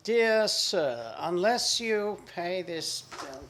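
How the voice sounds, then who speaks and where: A man's voice: high voice, very animated, extremely slow, background noise, heavily acted. A man acting a dry, drink-loving doctor friend in a radio play farce. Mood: dry, eager.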